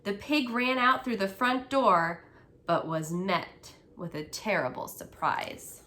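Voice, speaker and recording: Female children's storyteller, expressive and unhurried, with a bright, forward tone. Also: medium pitch.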